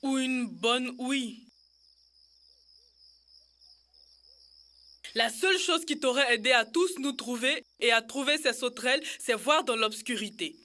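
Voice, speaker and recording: Bright, high-voiced cartoon girl. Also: moderate pace. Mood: earnest. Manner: earnest, instructive. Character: sensible young girl in children's cartoon. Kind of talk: French-dubbed educational cartoon acting.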